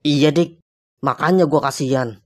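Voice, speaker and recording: A man's voice: a little high, moderate pace, lightly acted. A man voicing a young, easygoing but jumpy hotel guest in a cartoon, speaking casual slang. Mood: concerned.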